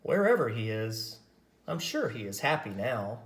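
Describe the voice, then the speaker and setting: A man voicing a gentle but firm female nurse in a picture book, reassuring in manner. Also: medium pitch, very animated, measured pace. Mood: gently consoling.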